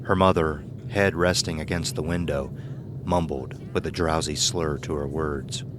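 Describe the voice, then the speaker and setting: A man's voice: rumbling low, fairly even intonation, unhurried, background noise. A man narrating and announcing from a script for an audio drama. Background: music.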